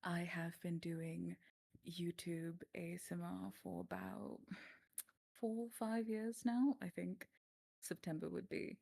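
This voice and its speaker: A woman's voice: fairly low pitch, slow, vocal fry, dark tone. A woman from South Africa, an ASMR creator, casually introducing herself.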